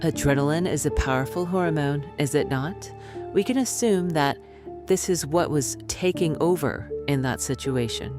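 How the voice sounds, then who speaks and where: A woman acting as a self-assured medical expert in a radio drama. Her voice is notably low, with music underneath. She is clinical, condescending and dismissive. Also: moderate pace, lightly acted. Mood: lecturing. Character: smug, dismissive female doctor and skeptic author. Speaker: doctor, expert guest.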